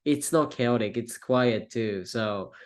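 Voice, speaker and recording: A young man from Japan with a Japanese accent, a native Japanese speaker, answering interview questions in halting English with many fillers and restarts. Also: mid-range voice. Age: young adult. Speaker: guest, film student.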